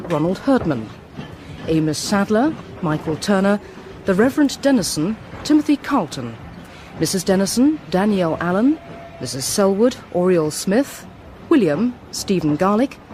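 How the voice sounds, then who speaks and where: Female continuity announcer, formal and precise, mid pitch with animated intonation, slight background noise. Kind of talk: scripted cast and credits announcement.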